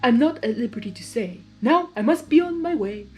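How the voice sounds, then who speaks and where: A woman's voice: a little high, animated, a little mellow, soft background music, natural voice. Woman as a wise rhyming female zebra. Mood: cryptic, advising. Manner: measured, rhyming, solemn. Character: wise, cryptic female zebra who speaks in rhymes.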